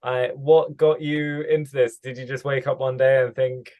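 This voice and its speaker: A man's voice: medium pitch, little pitch movement, normal speed, darkish tone. A man hosting a podcast, speaking in a friendly, hesitant way with many restarts. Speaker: podcast host. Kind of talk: podcast hosting, casual interview.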